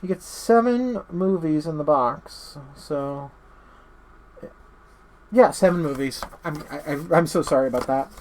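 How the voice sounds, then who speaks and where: A man's voice: fairly high pitch, unhurried, darkish tone, slight background noise. A man hosting a casual, unscripted review, rambling and often stammering and interrupting himself as he talks. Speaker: host of a horror movie review channel.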